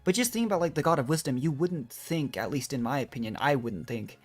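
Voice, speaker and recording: A woman's voice: very deep voice, expressive, fast talker, a little mellow, breathy edge, faint music behind. A woman streaming a game, giving casual, chatty commentary and musing aloud as she thinks things through.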